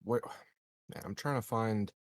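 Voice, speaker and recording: Male tabletop gamer, unhurried and laid-back casual talk, hesitant, close dry mic. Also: fairly low pitch, dry recording. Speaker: player in a tabletop game. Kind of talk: casual chat.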